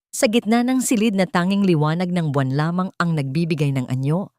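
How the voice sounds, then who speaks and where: A woman narrating a scripted dramatic story in a measured, solemn manner. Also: on the low side, a little mellow, no room sound, clean studio sound.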